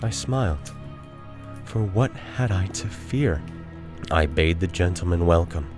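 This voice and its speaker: A man voicing a guilt-crazed murderer in a theatrical, deliberate dramatic reading of a gothic horror story. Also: low voice, animated, over music. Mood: smug, composed. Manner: theatrical, deliberate phrasing with drawn-out words. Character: guilt-crazed murderer, feverishly confident, unraveling into frantic confession.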